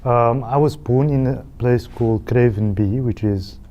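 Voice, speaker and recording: A man's voice: mid-range voice, unhurried, highs cut off. A man in his thirties from South Africa with a South African accent, chatting as a guest on a talk show and laughing often. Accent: South African English. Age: 30s. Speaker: TV channel CEO, guest. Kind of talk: casual chat.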